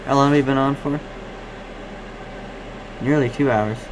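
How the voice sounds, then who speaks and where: Man, mid-pitched voice, casual rambling live-stream chat, distracted, clear background noise. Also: fairly even intonation, unhurried. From Canada. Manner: rambling, distracted, thinking aloud. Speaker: live stream host, radio hobbyist.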